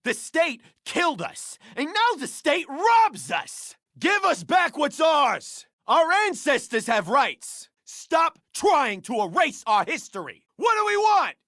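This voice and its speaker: A woman playing a fiery, defiant protester in a video game, loud and declamatory. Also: high voice, very animated, takes it slowly, few pauses, no echo, studio quality, exaggerated voice. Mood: angry, defiant. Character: fiery, defiant female protester chanting grievances.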